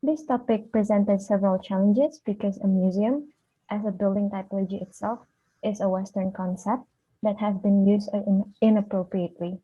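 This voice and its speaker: A young woman from Indonesia, an architecture student, giving an academic presentation over a video call in a soft, hesitant way with many fillers. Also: medium pitch, steady tone, moderate pace, breathy edge. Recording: tinny phone-like line.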